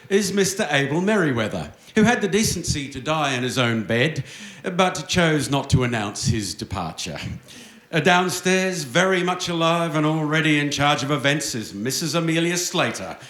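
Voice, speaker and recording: A man's voice: fairly high pitch, big pitch swings, normal speed, a bit bright. A man narrating a live radio play in a wry, mock-grand, old-time wireless announcer style. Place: theatre stage with audience. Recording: roomy live theatre stage.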